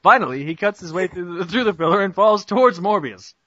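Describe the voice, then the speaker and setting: A man's voice: high-pitched, expressive, fairly quick, pushed and bright, no room sound. A young man giving an amateur mock-dramatic narration of a cartoon parody, hammy and stumbling over words, on a muffled internet call. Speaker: narrator in a group script reading.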